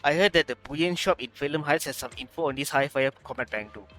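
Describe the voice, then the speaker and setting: A man's voice: on the high side, quick pace, fairly bright tone, soft background music. A man streaming a game playthrough, casually commenting and reading on-screen text aloud. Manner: casual, reads dialogue and narration aloud. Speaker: gaming streamer.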